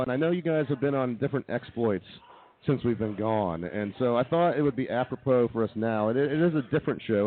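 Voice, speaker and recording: A man's voice: mid-range voice, narrow, tinny audio. A man hosting a comedy radio show with casual banter, heard through thin, band-limited audio.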